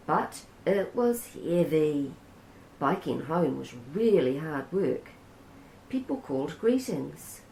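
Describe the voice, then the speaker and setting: Slow, gentle New Zealand storyteller woman. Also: low-pitched, creaky, a little hiss or hum. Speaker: storybook reader. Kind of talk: reading a children's picture book aloud. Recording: roomy echo.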